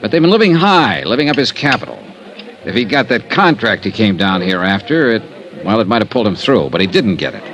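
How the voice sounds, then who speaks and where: An actor playing a dogged insurance investigator in an old-time radio drama, American, assertive and wry. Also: male voice, fairly low pitch, big pitch swings, fairly quick, a bit bright, rough recording. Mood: reasoning. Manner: assertive, wry, hard-boiled. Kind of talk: old-time radio detective acting and first-person narration.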